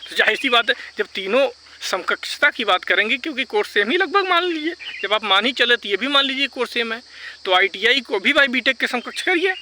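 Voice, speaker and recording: High, very bright, insistent man. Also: lively intonation, brisk, a little hiss or hum. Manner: insistent, repeats points; mixes English words into speech. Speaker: vlogger advising exam candidates. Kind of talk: informal argumentative advice talk.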